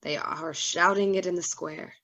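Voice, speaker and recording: A woman playing a tormented, remorseful gentleman doctor with formal, anguished delivery in a gothic radio drama. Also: on the low side, steady tone, moderate pace. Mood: anguished, vowing. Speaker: actor in a radio play.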